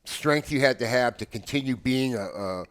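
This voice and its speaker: A man in his seventies in casual conversation, with an ordinary mid-range male pitch. He speaks haltingly, doubling up words and phrases before he finishes a thought. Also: clean tone. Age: 70s. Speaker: podcast guest, house DJ.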